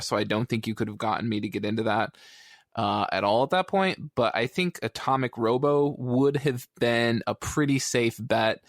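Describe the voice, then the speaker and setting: A man speaks informally as a co-host on a hobby podcast. His voice is of average male pitch. It is recorded dry, without audible room reflections. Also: fairly bright tone, no echo. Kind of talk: casual chat about comic books.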